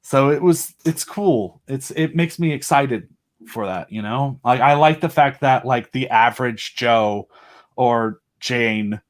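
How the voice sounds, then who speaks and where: A man's voice: mid-range voice, slow pace. A man talking casually as a podcast guest, earnest and rambling as he thinks aloud. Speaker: podcast guest, independent audio drama creator. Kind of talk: casual chat.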